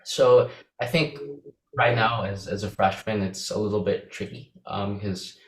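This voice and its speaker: A man's voice: lowish voice, fairly even intonation, measured pace, reverberant, rough recording. A young man from the Philippines, speaking Visayan as his first language, answering interview questions casually with many fillers and restarts. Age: young adult. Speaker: interview guest.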